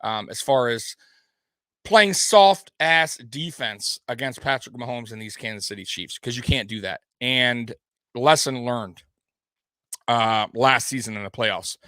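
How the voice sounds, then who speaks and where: A man hosts a laid-back sports recap and drifts from one thought to the next as he answers his live chat. He sounds a little tipsy. His voice is bright and forceful, recorded dry and close with no room echo. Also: mid-range voice, measured pace. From Detroit area. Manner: loose, rambling, talks to the chat. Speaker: host. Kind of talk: casual sports recap show.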